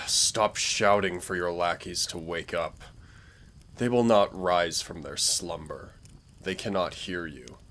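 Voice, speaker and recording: A man playing a smug, taunting dark warlord villain in a roleplay audio drama, speaking in a theatrical, dryly mocking way. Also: low-pitched, creaky, heavily acted. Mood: menacing, taunting. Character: dark warlord villain, younger-looking, sardonic, smug, taunting Lord of Nightmares. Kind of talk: roleplay audio drama, intimate scripted monologue.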